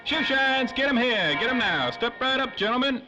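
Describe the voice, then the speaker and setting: A high-pitched eager shoeshine boy. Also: animated, moderate pace, over music. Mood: hawking. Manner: street-vendor patter, earnest and deferential. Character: eager, nervous young shoeshine boy, streetwise. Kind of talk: old-time radio drama acting. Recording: dull, faintly noisy old radio recording.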